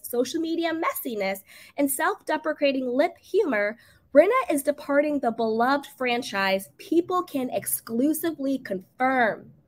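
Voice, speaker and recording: A woman whose voice is raspy from being sick, giving sassy, emphatic celebrity gossip commentary on her channel. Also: sits high. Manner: sassy, emphatic, drawn-out stresses. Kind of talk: casual gossip commentary to camera.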